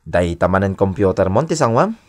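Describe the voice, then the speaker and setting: A Filipino man playing a loud, teasing drinking buddy in a radio drama. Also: low-pitched, big pitch swings, a little fast, no echo, studio quality. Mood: teasing, urging. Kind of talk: Ilocano radio drama acting.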